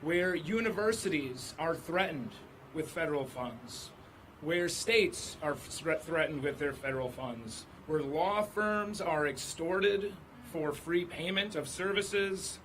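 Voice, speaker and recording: A hoarse man, a state senator, speaking at an outdoor press conference, stacking up a long list of grievances. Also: a little high, expressive, takes it slowly, a bit bright, a little hiss or hum, rough recording. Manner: building lists of grievances.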